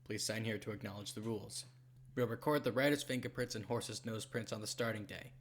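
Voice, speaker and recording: A man's voice: fairly low pitch, steady tone, brisk, a little hiss or hum, close to an everyday voice. A man playing an officious, unbending registration clerk in a manga dub, reciting rules in a dry, procedural way. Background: music.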